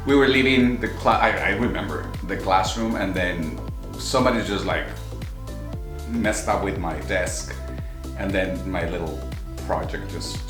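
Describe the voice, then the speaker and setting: Low-voiced man, earnest chat. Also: measured pace, reverberant, over music. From Mexico City. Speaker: co-host. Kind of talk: casual Q&A chat.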